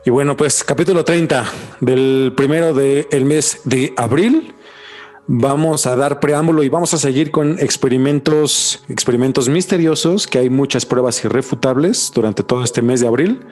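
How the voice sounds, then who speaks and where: A man's voice: medium pitch, normal speed, a little mellow. A man hosting a podcast, moving between scripted presenting and casual chat. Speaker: podcast host.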